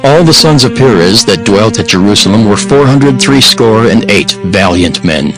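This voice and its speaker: Low-voiced man narrating scripture over music. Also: animated, poor audio. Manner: solemn, measured, formal delivery. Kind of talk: dramatized scripture audiobook narration. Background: background music.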